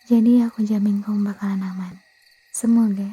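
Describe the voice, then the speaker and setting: An Indonesian woman playing a young vampire girlfriend who craves blood yet means no harm, speaking softly and hesitantly in an intimate, often whispered ASMR roleplay. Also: mid-range voice, steady tone, normal speed. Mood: apologetic, gently coaxing. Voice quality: breathy, soft. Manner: hesitant, stammering, self-correcting, coaxing.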